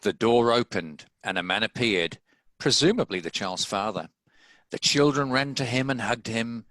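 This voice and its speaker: A man's voice: mid-range voice, expressive, normal speed, fairly bright tone, no room sound, rough recording. A man reading scripted story narration as the narrator of a table read.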